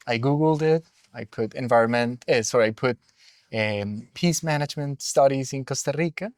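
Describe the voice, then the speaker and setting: A middle-aged man from Colombia with a Colombian Spanish accent, a school director reflecting on his life in an unhurried conversational interview with many fillers. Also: medium pitch, dry recording.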